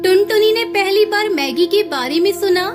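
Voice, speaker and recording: A woman's voice: extremely high pitch, lively intonation, normal speed, music in the background. A woman narrator reading a scripted children's story.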